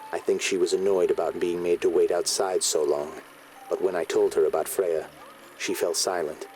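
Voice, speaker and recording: A man's voice: deep voice, little pitch movement, moderate pace, a little mellow, soft background music, lightly acted, rough recording. An actor voicing a plain-spoken, subdued man giving testimony in a video game.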